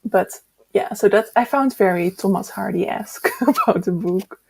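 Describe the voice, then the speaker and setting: A woman's voice: mid-range voice, moderate pace, gravelly, slightly rough. A woman co-hosting a book discussion in a casual, easygoing, chatty way.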